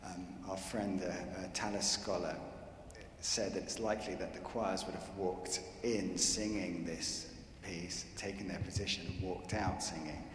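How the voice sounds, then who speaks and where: A man explains the electronics side at a handheld mic in a large church, rambling and full of fillers. His voice is very deep. The church adds long reverb and a faint hiss. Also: fairly even intonation, unhurried. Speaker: electronics collaborator answering questions. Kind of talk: unscripted Q&A answers. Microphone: handheld microphone. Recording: echoey church with faint noise.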